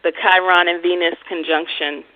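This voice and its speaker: A woman's voice: fairly low pitch, little pitch movement, moderate pace, dry recording. A young woman co-hosting an astrology talk show, chatting casually with many ums and easy laughs. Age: young adult. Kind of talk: casual chat. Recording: tinny phone line.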